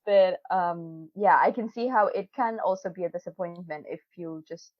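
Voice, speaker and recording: A woman's voice: lowish voice, normal speed, dry recording. A woman in her twenties whose first language is not English, talking casually in a video call interview, chatty and laughing often. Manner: chatty, laughs often, many fillers and restarts. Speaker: interviewee, PhD student. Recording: dull, dry video call audio.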